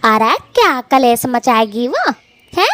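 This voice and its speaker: Bossy old mother-in-law done by a woman, high and sing-songy, nags nonstop. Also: sing-song, slow, sharp tone, exaggerated voice. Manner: scolding, shrill taunts. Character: scolding older mother-in-law, sharp-tongued, domineering. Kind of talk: Hindi cartoon voice acting.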